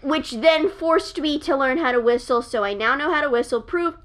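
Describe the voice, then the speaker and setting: A young woman with a very high-pitched voice, co-hosting a casual chat and laughing often. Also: moderate pace, fairly bright tone. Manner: giggly, bursts into laughter often. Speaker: podcast co-host.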